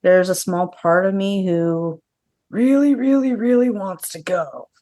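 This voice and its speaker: A woman's voice: medium pitch, a little slow, warm tone. A woman co-hosting a podcast, chatting casually about horror news in a conversational way peppered with filler words. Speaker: podcast co-host.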